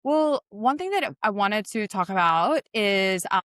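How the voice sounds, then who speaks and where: A woman from the Philippines hosts a casual interview at a medium pitch. She affirms her guest often and talks loosely, with fillers. Her voice sounds dry and close. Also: animated, measured pace, a bit bright, dry recording. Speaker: podcast host.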